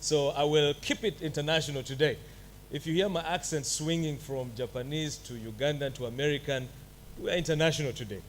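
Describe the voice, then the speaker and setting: A man's voice: a little high, fairly quick, a little hiss or hum. A man giving a commencement address at a graduation ceremony, joking with the audience between formal greetings. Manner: jovial, playful asides to the audience. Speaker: commencement speaker. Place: ceremony hall.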